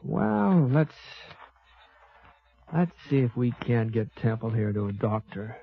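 An American actor playing a laconic, folksy gunfighter who narrates a 1950s radio western in a halting, drawling way. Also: male voice, mid-range voice, sing-song, vocal fry, husky, soft background music, full character voice. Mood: calm, thoughtful. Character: laconic, folksy, fair-minded Old West gunfighter narrating his tale. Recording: dull lo-fi vintage 1950s radio recording.

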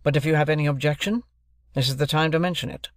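A man's voice: fairly high pitch, fast talker, no room sound, clean studio sound, natural voice. A man voicing a brusque, stern middle-aged lawyer who speaks in curt, clipped, commanding sentences. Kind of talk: audiobook character voice.

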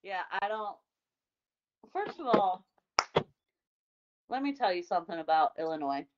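A woman's voice: medium pitch, lively intonation, unhurried, muffled recording, heavy background noise. A woman in casual chat, emphatic and easily exasperated, swearing freely while ranting.

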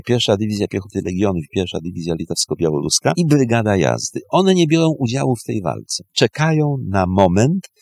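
A man's voice: medium pitch, darkish tone, no room sound, studio quality. A man speaking as a historian guest, telling history in an emphatic way with short, repeated phrases. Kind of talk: animated historical storytelling.

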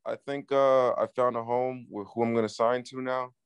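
A man from Peru who grew up partly in New York, giving casual interview answers as a music artist, haltingly and with repeated words. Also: medium pitch, flat delivery, smooth, dry recording. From Peru, moved to the US at six, based in New York City. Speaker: guest, up-and-coming Latin music artist.

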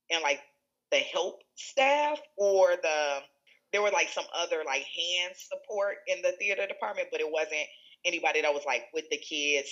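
A woman from Chicago with a Chicago accent, an educational leader telling stories in an interview, animated, laughing often and restarting her sentences. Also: deep voice, lo-fi sound. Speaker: school executive director. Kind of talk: conversational interview storytelling.

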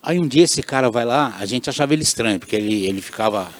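A man's voice: medium pitch, animated, fast. A man spins anecdotes in a loose, easygoing way, laughing often and repeating himself for emphasis. He comes across as a radio regular chatting with friends. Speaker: radio show regular, guest. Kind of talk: casual conversation, storytelling.

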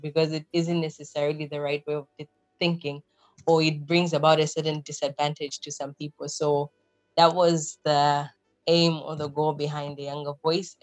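Low, level-voiced woman from Zambia. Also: no room sound, rough recording. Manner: thoughtful, explanatory, with frequent fillers. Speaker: podcast guest. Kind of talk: conversational interview answers.

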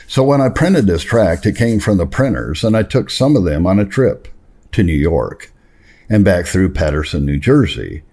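Deep-voiced, husky man reading with lively emphasis. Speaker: reader and narrator of religious history. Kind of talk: reading a memoir aloud with spoken asides.

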